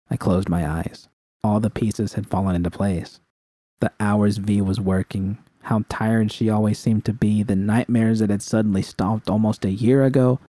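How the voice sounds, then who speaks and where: A man's voice: fairly low pitch, mellow, dry recording. A man reading a first-person dramatic story as its narrator. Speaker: narrator reading fan fiction.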